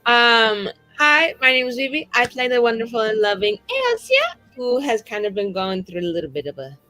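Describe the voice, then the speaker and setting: Chatty woman with soft music behind. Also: on the high side, sing-song, moderate pace, dry recording. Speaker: player in a tabletop game show. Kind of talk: casual, rambling chat about a game character.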